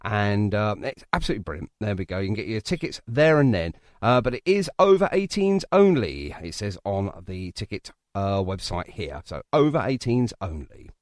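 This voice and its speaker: A man's voice: fairly low pitch, sing-song, moderate pace, hardly any pauses, dry recording, clean studio sound. A man hosting a chatty radio interview, jokey and teasing, often repeating himself. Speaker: radio show host.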